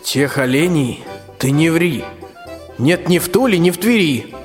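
Radio play tsar, male actor: high voice, imperious and suspicious, long hall reverb, music underneath. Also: animated, a little slow. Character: bossy, threatening, suspicious fairy-tale tsar. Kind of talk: rhymed radio play acting.

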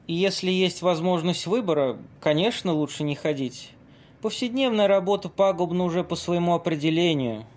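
A man acts out a worldly, theatrical Venetian seducer for an audio play. His voice sits a little high for a man, and he delivers lines dramatically, with weighty pauses, like a seasoned storyteller. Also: darkish tone, close to an everyday voice. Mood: worldly, dramatic storytelling. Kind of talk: amateur audio play acting.

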